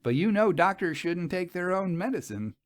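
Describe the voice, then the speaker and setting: A man's voice: sits high, very animated, moderate pace, dry recording, studio quality, voice pushed up. A man voicing a merry, teasing young woman in a story, chatty and playfully mock-grave. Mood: teasing, chiding.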